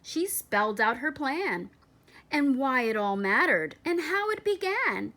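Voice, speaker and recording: A woman narrates a children's storybook. Her voice is high and she reads at a slow, deliberate pace, telling the tale expressively. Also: very animated, sharp tone. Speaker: storybook reader. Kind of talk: read-aloud children's picture book narration.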